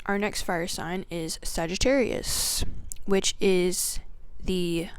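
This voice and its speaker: A woman teaching the zodiac signs in a spiritual video that opens with a prayer. She speaks reverently, draws her phrases out, drops into soft whispers and lists qualities one word at a time. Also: lowish voice, steady tone, clear, resonant tone, dry recording. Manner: slow, drawn-out delivery with soft whispered phrases. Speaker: astrology teacher.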